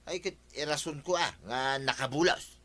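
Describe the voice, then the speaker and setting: A man's voice: on the high side, moderate pace, bright, forceful tone. A man with an Ilocano accent acting a scheming, excuse-making neighbour in a radio comedy drama. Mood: defensive.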